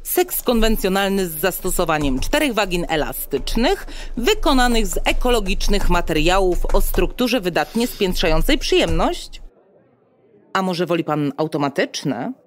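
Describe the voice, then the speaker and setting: An actress playing a brisk, officious receptionist at a futuristic state clinic, speaking in formal, bureaucratic sales patter in an audio drama. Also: female voice, lowish voice, big pitch swings. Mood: businesslike. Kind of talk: Polish audio drama acting.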